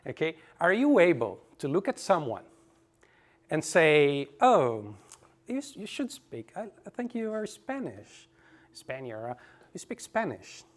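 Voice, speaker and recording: A man's voice: a little high, very animated, unhurried. A man presenting at a conference, talking loosely with many restarts and quizzing the audience. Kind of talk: presentation talk with audience quiz.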